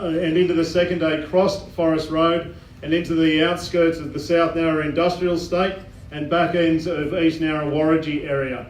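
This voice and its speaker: An Australian man with a fairly high voice briefs a crowd as incident controller, loud and plain-spoken, pausing often on "uh". He is miked in a roomy hall and heard from some distance. A faint hum of ambience sits behind him. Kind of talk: public briefing at a community meeting. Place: bowling club function hall. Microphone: microphone addressing a room.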